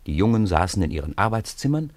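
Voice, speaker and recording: Deep-voiced man narrating a children's story. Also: very animated, fast talker, a little mellow, no room sound. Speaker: narrator of a children's radio play.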